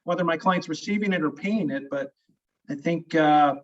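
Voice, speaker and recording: A man hosting an interview talks in a friendly, rambling way, often restarting his sentences. His voice is fairly high for a man and sounds distant and muffled, as if set back from the mic. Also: normal speed, slight background noise. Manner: rambling, stop-start, friendly. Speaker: family law attorney host. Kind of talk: casual interview conversation.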